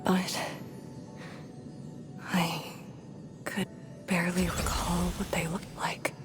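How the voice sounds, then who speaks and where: A grieving woman in a video game, remembers in a slow, low-pitched near-whisper. She is wistful and halting, with breath in her words. Music plays clearly behind her. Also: flat delivery. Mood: somber. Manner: halting, hushed, breathy delivery. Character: grieving woman revisiting her lost childhood home, wistful, haunted. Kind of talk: dramatic video game voice acting.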